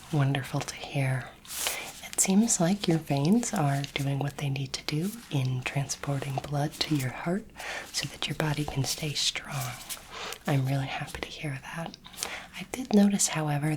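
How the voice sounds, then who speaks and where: A woman whispering gently and reassuringly in an ASMR roleplay, acting as a calm, kindly alien doctor, close to the microphone. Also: deep voice, background noise. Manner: soothing, gentle, reassuring, unhurried. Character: kindly, calm female alien space doctor examining a human. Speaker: ASMR performer playing an alien space doctor.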